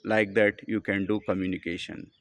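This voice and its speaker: A man with an Indian accent giving a spoken English lesson. He talks in slow, simple, deliberate sentences and often calls the listener "my dear friend.". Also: lowish voice, level tone, fairly bright tone, no room sound. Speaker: English teacher.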